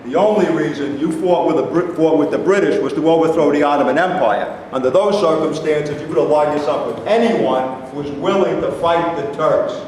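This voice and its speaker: A man's voice: a little high, brisk, few pauses, darkish tone, lightly acted. A man playing a stubborn, self-justifying Israeli army veteran in a staged reading of a play. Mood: argumentative. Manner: defensive, insistent. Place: reverberant indoor hall, staged reading. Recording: echoey hall from far off, hissy.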